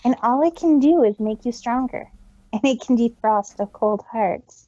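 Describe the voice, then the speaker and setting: A woman's voice: on the high side, moderate pace, warm tone, no echo, dull audio, lightly acted. A woman voicing a proud but earnest female pony magician in a theatrical reading of fan fiction. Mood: earnest, reflective. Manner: theatrical, speaks of self in third person.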